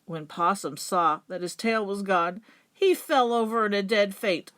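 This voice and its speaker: A woman storyteller narrates a folktale for children. Her voice sits at a middling pitch, and she shapes phrases with dramatic, deliberate emphasis. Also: a bit bright.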